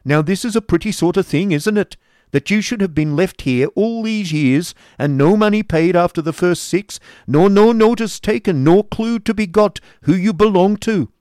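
Brief: male reader doing a bullying storybook schoolmaster, raised high and loud, hectoring and testy, lively swings, dry close sound. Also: moderate pace, no echo. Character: coarse bullying middle-aged male schoolmaster.

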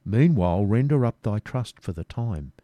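A man's voice: on the low side, animated, normal speed, warm tone, no echo, lightly acted. Man doing a taunting outlaw leader. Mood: mocking. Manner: taunting, commanding. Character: gruff male outlaw leader, mocking and bold.

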